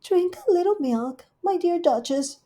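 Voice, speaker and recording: Woman playing a prim fussy cat hostess, very high and fluttery, storybook. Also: expressive, unhurried. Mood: solicitous. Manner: solicitous and prim, sharp when annoyed. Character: fussy, kindly female cat hostess, quick-tempered.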